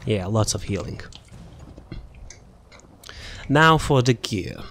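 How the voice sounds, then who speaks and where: Low-voiced man casually walking through gameplay. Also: expressive, takes it slowly, background noise. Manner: rambling, informal, thinking aloud. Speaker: gaming video creator presenting a character build. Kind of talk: casual unscripted game build walkthrough.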